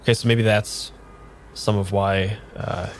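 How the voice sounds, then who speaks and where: An actor playing a nervous, hesitant amnesiac man in an improvised radio drama, talking in a halting, understated way. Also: fairly low pitch, level tone, slight background noise, lightly acted. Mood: uncertain, uneasy. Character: nervous, hesitant amnesiac man having his tarot read.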